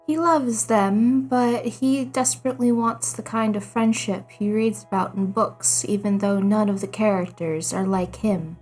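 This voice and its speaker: A woman's voice: medium pitch, a little slow, hardly any pauses, darkish tone, faint music behind. A woman reading a scripted story aloud as narrator. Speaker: fan fiction narrator.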